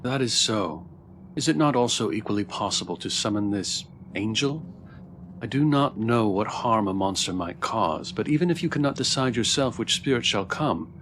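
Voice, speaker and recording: Lower-voiced man reading game lines aloud. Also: fairly bright tone, a little hiss or hum. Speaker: streamer playing and reading aloud. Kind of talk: reading game dialogue with casual asides.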